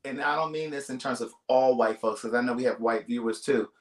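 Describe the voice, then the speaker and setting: A man hosting a live-stream chat show, animated and quick to jump in and tease his callers. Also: medium pitch. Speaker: host. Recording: roomy echo on the host's mic.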